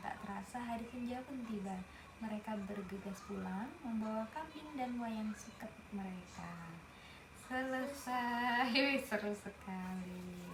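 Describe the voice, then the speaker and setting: A woman reading a picture book aloud, stopping to explain the story to a young listener in a chatty way. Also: fairly high pitch. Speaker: storybook reader. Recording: roomy with noticeable background noise.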